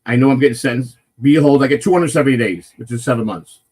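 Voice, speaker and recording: A man with a naturally loud voice, hosting a casual morning live stream and rambling through a personal story with lots of swearing and restarts. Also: medium pitch, fast, slightly rough. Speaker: morning show host telling a personal story.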